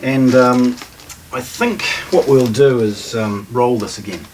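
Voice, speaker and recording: Distant, low-voiced New man unboxing. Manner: halting, matter-of-fact, thinking aloud while handling boxes. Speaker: presenter of a hi-fi shop's unboxing video. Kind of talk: casual unscripted product unboxing commentary. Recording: distant mic, noisy room with handling clatter.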